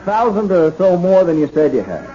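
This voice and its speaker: An actor playing a bumbling, friendly but quietly shrewd policeman in a 1970s radio drama, stammering and apologetic. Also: sits high, sing-song, normal speed. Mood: slyly probing. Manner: hesitant, stammering, folksy, falsely apologetic. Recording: dull, lightly hissy 1970s radio recording.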